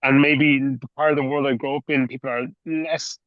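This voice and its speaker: A man's voice: mid-range voice, fairly even intonation, moderate pace, dry recording. Irish man interviewing, dry muffled sound. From Ireland. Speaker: podcast interviewer. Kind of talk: conversational interview.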